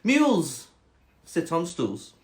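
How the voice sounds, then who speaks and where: A man voicing a snobby, bossy storybook cat in a haughty, drawn-out, insistent way. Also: on the high side, huge pitch swings, echoey room, full character voice.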